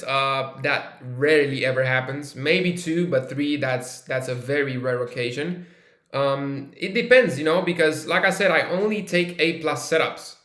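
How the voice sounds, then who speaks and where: A man from Romania talks through a live trading stream, relaxed and thinking aloud with plenty of fillers. His voice is bright and forward. The room around him sounds echoey. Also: mid-range voice. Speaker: live-stream trader host. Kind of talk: casual live-stream chat, reading viewer questions.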